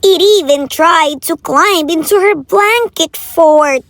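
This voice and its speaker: Casting: female children's storyteller, high-pitched, unhurried, expressive picture-book delivery, faint noise behind. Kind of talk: animated picture-book narration.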